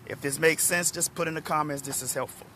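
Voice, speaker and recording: A middle-aged man from Washington, D.C., a motivational speaker, talking hoarsely and chattily to viewers on a phone held at arm's length outdoors. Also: on the high side, quick pace, a little hiss or hum. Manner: relaxed, chatty, addresses viewers by name. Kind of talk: live-streamed talk to viewers. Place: outdoors in a parking lot under trees.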